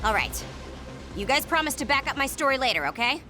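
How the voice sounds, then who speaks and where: Casting: easygoing, slightly lazy female soldier in an anime game; highish pitch, very animated, music underneath. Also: fairly quick. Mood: nonchalant. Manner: casual, nonchalant. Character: laid-back, lazy but caring senior woman soldier, anime. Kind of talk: English anime game voice acting. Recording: music behind the voice.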